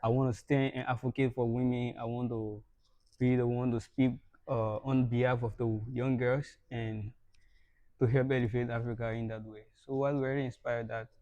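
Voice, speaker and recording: A young man hosts a podcast in a relaxed, conversational way, often laughing and repeating words as he gets going. His voice is mellow and dark. The recording is dry and close. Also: mid-range voice, moderate pace, no room sound, highs cut off. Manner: warm, laughs often, repeats words when starting a sentence. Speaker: podcast host. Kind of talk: casual podcast interview.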